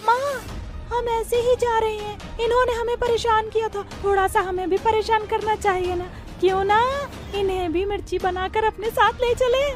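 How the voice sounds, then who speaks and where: Tiny witch daughter, super high n bratty, cartoon story, loud music. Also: steady tone, moderate pace. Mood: mischievous. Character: cheeky young witch daughter, mischievous and rude. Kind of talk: animated Hindi story dialogue.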